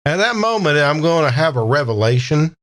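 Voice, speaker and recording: A man playing a folksy, polite, unhurried man with a drawl in a tabletop role-playing game. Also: on the high side, big pitch swings, fairly bright tone. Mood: folksy, confident.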